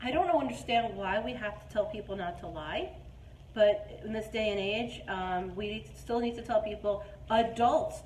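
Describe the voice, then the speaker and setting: A woman's voice: medium pitch, moderate pace, a little mellow. A woman in her forties hosting a dating advice show, chatting casually and emphatically in an echoey room. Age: 40s. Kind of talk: casual live chat. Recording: echoey room with clear background noise.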